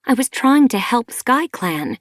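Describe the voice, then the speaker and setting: A woman's voice: a little high, very animated, a little slow, no echo, clean studio sound, voice pushed up. A woman reading an audiobook, voicing an earnest, defensive mother she-cat who reasons firmly.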